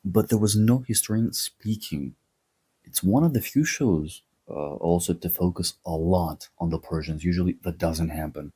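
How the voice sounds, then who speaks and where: Dry-witted man, low and husky. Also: normal speed, mellow. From Greece. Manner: sarcastic, wry asides. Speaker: history video host. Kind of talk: opinionated commentary monologue.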